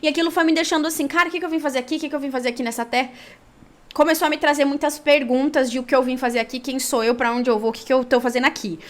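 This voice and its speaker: Woman, Brazilian accent, higher-pitched voice, conversational faith storytelling, animated and colloquial delivery. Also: rapid-fire. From São Paulo, Brazil. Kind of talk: personal storytelling with Bible reading.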